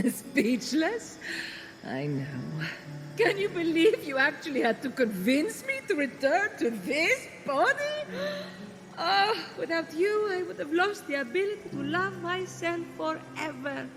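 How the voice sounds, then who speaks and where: An actress playing a vain, flirtatious goddess of love and beauty in a video game, with a dramatic, self-admiring manner. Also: female voice, very high voice, sing-song, a little slow, in a large hall, over music, full character voice. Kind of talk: animated video game cutscene acting.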